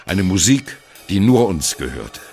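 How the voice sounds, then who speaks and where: An actor playing an aging, gruff free jazz musician in an audio drama monologue, blunt, wry and reflective, with a gravelly voice. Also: male voice, low voice, sing-song, a little slow, darkish tone, faint music behind, heavily acted. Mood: wry, proud. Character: aging male free jazz veteran, gruff, irreverent, wistful.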